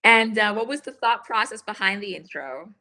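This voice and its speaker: A woman's voice: medium pitch, animated, normal speed, sharp tone. A woman hosting an interview, reading an upbeat promotional intro and then asking questions. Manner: upbeat, promotional and scripted at the open. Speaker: interview host.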